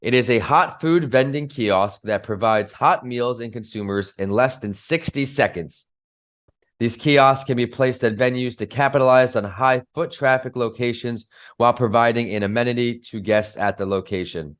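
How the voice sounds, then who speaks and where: A man hosting an entrepreneur podcast with a smooth, resonant voice. He reads his introductions in an upbeat, scripted way and moves briskly from guest to guest. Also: mid-range voice, a bit bright. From northern United States. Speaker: podcast host.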